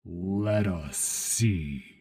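A commanding half-machine warlord preaches with grandiose zeal in an audio story. His voice is low and dark, and he speaks slowly and solemnly, as if every word is a decree. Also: husky, dry recording, exaggerated voice. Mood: grim, commanding. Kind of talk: dramatic audio story acting.

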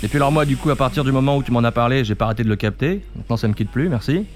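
Man acting a jokey, easygoing Frenchman, mid-pitched voice. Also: racing, close to an everyday voice. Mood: relaxed, casual. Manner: casual, jokey, chatty. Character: joking, easygoing male barbershop client. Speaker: actor. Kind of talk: naturalistic audio fiction dialogue.

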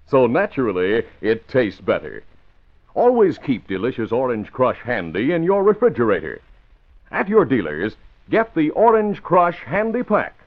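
A man's voice: mid-range voice, wildly swooping pitch, normal speed. A man announcing and narrating dramatically in the scripted style of old radio. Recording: dull old radio recording. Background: music.

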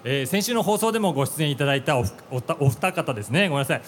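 High-pitched, animated actor as flustered office-worker emcee man. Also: very fast, a little hiss or hum, natural voice. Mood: flustered, joking. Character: flustered, good-natured male office worker leading his team. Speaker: host and leader of the team. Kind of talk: live radio drama event hosting. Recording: live event with music behind.

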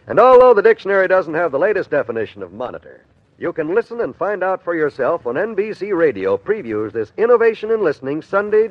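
A man's voice: fairly high pitch, dry recording. An American man announcing scripted promotions and credits in a polished, emphatic 1950s radio style. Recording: dull vintage radio recording.

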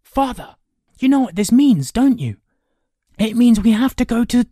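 A woman acts as an excitable youth who longs for knighthood. The voice is fairly high, very animated and pleading, with a soft mellow tone. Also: normal speed, dry recording, clean studio sound. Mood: excited. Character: eager, excitable young man dreaming of knighthood.

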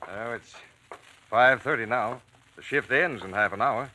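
Bright, gruff fatherly doctor. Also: medium pitch, unhurried. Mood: brisk concern. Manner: paternal, bossy, gently scolding. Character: brisk, fatherly male plant doctor, gruffly reassuring. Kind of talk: old-time radio drama acting. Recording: noisy vintage radio recording.

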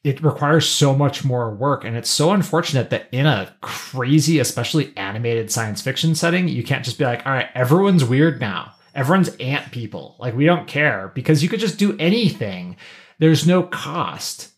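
A man's voice: medium pitch, animated, moderate pace. A man hosting a podcast, talking casually about pop culture and thinking aloud in a rambling way. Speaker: podcast host.

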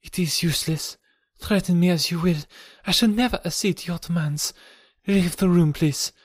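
A man's voice: high voice, moderate pace, dry recording, clean studio sound, pitched above the performer's own voice. A man reading aloud, voicing a proud and defiant young woman who speaks coldly and without fear. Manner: cold and fearless. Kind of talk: audiobook reading.

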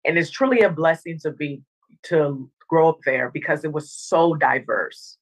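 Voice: medium pitch, moderate pace, poor audio. An interview guest born in Dominica and raised in Northern Virginia, a motivational speaker and former TV anchor, talking earnestly and reflectively. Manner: earnest, reflective, says "you know what I mean". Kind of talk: casual interview chat.

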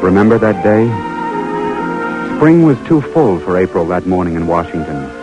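Imprisoned American lieutenant, played by a man: low-pitched, intimate, reflective, tired, classic radio play style. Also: normal speed, highs cut off, natural voice. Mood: weary, reflective. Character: earnest, weary imprisoned American army lieutenant. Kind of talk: 1940s radio drama acting, letter narration. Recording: vintage radio broadcast with music.

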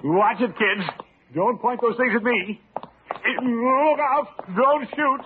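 A man's voice: very high-pitched, slow pace. An American man playing a pompous, exasperated middle-aged uncle in a vintage radio comedy, blustering and grumbling. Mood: alarmed. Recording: vintage radio, narrow-band, noisy.

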